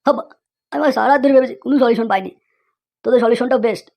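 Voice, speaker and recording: Casting: performer doing loud, whiny young cartoon husband, impatient, full of exclamations. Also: mid-range voice, rapid-fire, gravelly. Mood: agitated. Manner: exclamatory, repeats a catchphrase cry. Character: agitated young husband, loud, whining, impatient village everyman. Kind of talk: comedy cartoon voice acting.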